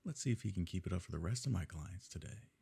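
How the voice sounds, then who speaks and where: A man playing a smug, wealthy evil nobleman in an intimate ASMR roleplay, suave and condescending, with a gravelly, husky voice. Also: low-pitched, lively intonation, fast talker, dark tone, heavily acted. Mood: smug, businesslike. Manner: suave, condescending, leisurely formal phrasing.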